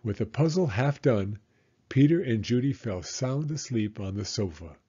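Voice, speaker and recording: A man narrates a children's story with lively, swooping intonation and dramatic expression. His voice is mellow and a little husky, and the recording is slightly dull. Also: mid-range voice, moderate pace.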